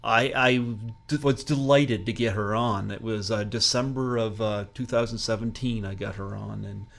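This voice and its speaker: A man's voice: fairly low pitch, fairly even intonation, normal speed, vocal fry, a bit bright. Easygoing male podcast host. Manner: easygoing, laughs, fills with uh and um. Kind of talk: casual interview chat.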